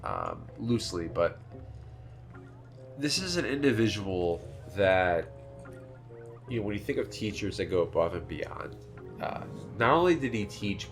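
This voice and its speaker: A man's voice: low voice, fairly bright tone. A man with a nasal voice telling a rambling, filler-heavy story on a podcast. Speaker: podcast host. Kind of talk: casual chat.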